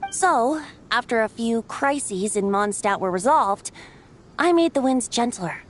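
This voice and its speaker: A woman voicing a boyish wind god bard in a video game, speaking in a lyrical, theatrical, gently teasing storyteller's manner, playful yet wise. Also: on the high side, lively intonation, unhurried. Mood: earnest, measured. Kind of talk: video game character dialogue.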